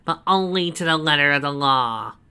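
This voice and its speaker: A sneering, scheming villain, as a man puts him on for a fan-read story, his voice pushed high and tight with gloating. He stretches his words out slowly and savors every threat. The tone is bright and sharp. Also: expressive, clean tone, full character voice.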